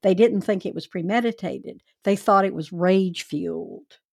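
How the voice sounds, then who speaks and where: A woman hosting a true crime podcast, telling the case in a chatty, animated, wry way. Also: medium pitch, normal speed, vocal fry, no echo, clean studio sound. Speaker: podcast host.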